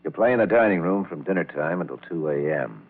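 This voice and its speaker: A menacing nightclub boss from an old radio crime drama, extremely low and gravelly. He is blunt, bossy and jealous, on a tinny, narrow vintage recording. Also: animated, quick pace. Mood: curt, businesslike. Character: gruff, jealous, threatening nightclub owner and husband.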